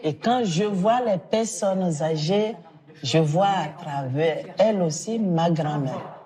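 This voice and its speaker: A woman from Benin, a religious sister who leads her community, speaking slowly and reflectively in a documentary interview. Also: lowish voice, a crowd in the background.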